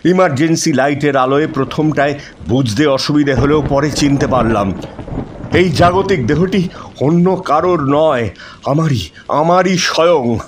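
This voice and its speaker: A man narrating a horror story in the first person, dramatic and suspenseful, with pauses for effect. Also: a little high, noisy background. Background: music.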